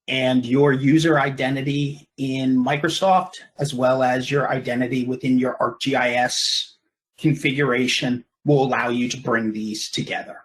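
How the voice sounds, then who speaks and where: A man presenting a software product in a webinar, explaining in a measured way with brief pauses. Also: mid-range voice, low-quality recording.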